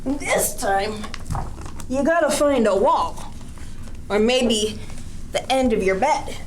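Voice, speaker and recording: A woman with a fairly high voice reads and instructs for young children at bedtime. Her delivery is playful and sing-song, with very lively rises and falls. She is recorded indoors from a little way off, with some noise in the background. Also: slow, rough recording. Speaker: children's storytime host leading bedtime yoga. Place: indoors, small draped space, lying on the floor.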